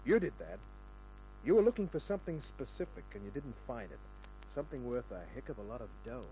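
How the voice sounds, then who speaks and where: A man's voice: mid-range voice, sing-song, fast talker, mellow, slight background noise, lightly acted, rough recording. A man playing a cynical, tough private detective in an old radio crime drama, wry and needling as he talks and narrates. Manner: wry, needling, hard-boiled. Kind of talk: mid-century radio crime drama acting and first-person narration. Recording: old radio recording with music.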